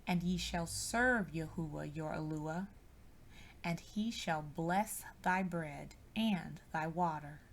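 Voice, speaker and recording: A woman with a lowish voice gives a solemn scripture reading at a very slow, deliberate pace. Her voice has a marked creak, and each phrase is measured out carefully. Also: a little hiss or hum. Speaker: scripture reader.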